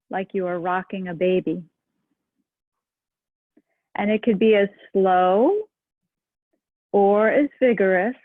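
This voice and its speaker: Woman meditation guide, unhurried and gentle, dry thin phone-like recording. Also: medium pitch, no echo. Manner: slow, soft and soothing.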